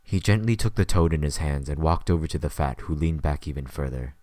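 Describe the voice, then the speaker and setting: A man's voice: rumbling low, lively intonation, brisk, mellow, no room sound, clean studio sound. A man reading scripted story narration as the narrator of an audio drama.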